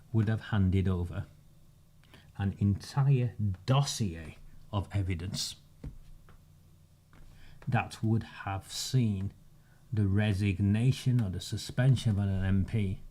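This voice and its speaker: A man, delivering a measured, sarcastic campaigning monologue with emphatic pauses. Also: fairly low pitch, mellow. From northern England. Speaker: community campaigner. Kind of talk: direct-to-camera monologue.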